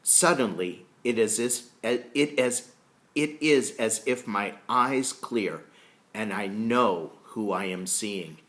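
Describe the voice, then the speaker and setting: A man reading a historical novel aloud as a storyteller, deliberate and explanatory. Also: fairly low pitch, fairly bright tone. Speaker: reader reading a book chapter aloud.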